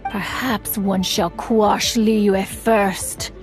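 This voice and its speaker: A woman's voice: mid-range voice, fairly even intonation, over music. A woman playing a proud, aloof, ancient immortal hermit in a video game, speaking in formal, archaic phrases. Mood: shocked, outraged. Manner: haughty, formal, archaic, measured. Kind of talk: theatrical video game voice acting.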